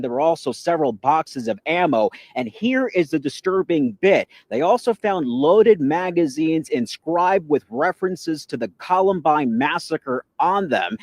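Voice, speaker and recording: Higher-voiced male TV news reporter. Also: darkish tone, no room sound.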